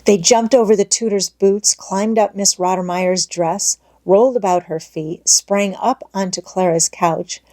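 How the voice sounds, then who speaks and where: A woman's voice: mid-range voice, steady tone, unhurried, hardly any pauses, warm tone. A woman narrating an audiobook, reading a children's story expressively from a script.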